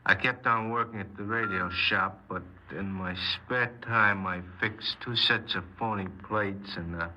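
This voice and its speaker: Cold, embittered young man, low-voiced. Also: steady tone, pushed and bright. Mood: halting confession. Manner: halting, terse, guarded. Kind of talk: 1950s radio crime drama acting. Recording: noisy, muffled 1950s radio broadcast.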